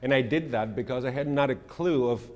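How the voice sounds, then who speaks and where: A middle-aged man from Greece, giving a measured, earnest presentation and answering audience questions. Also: medium pitch, a little mellow, big-room sound, dull audio. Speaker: speaker.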